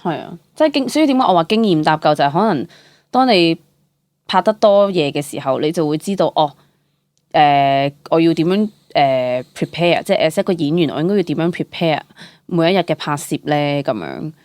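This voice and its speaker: A young woman with a Hong Kong accent chatting casually as an interview guest, animated and mixing English words into her speech. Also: sits low, brisk, dry recording. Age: young adult. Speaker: guest, freelance stage and screen actor.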